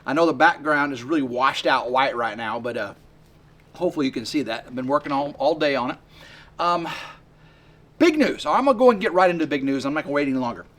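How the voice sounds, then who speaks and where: A man in his fifties rambling excitedly to camera outdoors by his work shed, full of fillers and restarts. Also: medium pitch, quick pace. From West Tennessee. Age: 50s. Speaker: homesteading vlogger. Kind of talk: rambling casual vlog to camera.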